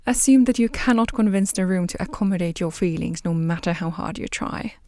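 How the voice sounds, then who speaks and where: A woman playing a composed, formal interviewer in an audio drama, with a measured and polite manner. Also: on the low side, dark tone, close to an everyday voice. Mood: probing. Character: composed, formal female interviewer screening diplomat candidates.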